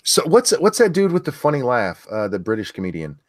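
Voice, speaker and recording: A man with a deep voice hosting a casual livestream chat, reading viewer questions in an easygoing, crude, joking way. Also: wildly swooping pitch, a little fast, crowd noise.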